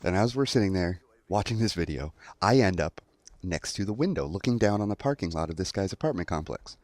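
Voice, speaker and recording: A man's voice: low voice, animated, fairly quick, a little mellow, no room sound. A young adult man telling a story in a casual commentary style, acting out quotes as he goes. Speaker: online storyteller.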